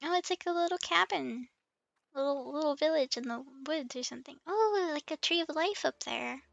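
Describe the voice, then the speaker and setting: A woman with a high, bright voice that breaks into creak talks casually as she streams a game. She is giggly and excitable, exclaiming often, with music playing softly behind her. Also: normal speed, highs cut off. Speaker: streamer, host of the show. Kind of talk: casual livestream game chat. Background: background music.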